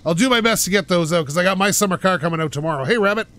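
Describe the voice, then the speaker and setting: A man hosting a driving game playthrough, pushing his voice out loud and energetic as he rambles and pokes fun at himself from his couch. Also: high-pitched, very fast. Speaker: gaming channel host. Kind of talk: casual let's-play commentary. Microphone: home microphone while playing on a couch.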